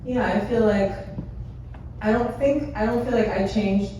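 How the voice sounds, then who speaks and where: A woman raised in America who talks on a panel in a lively, jokey way. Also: mid-range voice, unhurried, a little hiss or hum. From United States. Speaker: actor on a panel. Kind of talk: casual panel talk. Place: theater stage. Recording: echoey hall, distant mic, crowd.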